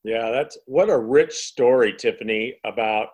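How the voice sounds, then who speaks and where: A man's voice: medium pitch, unhurried, darkish tone, husky. Reflective male interview host. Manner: reflective, sums up the guest's points at length.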